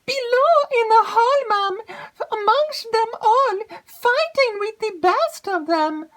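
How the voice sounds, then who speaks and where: A panicked young maidservant, squeaky high voice, desperate and breathless. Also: female voice, lively intonation, slow pace, fairly bright tone, heavily acted. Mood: frantic, pleading. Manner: frantic, high and pleading. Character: terrified young female servant girl, breathless and pleading.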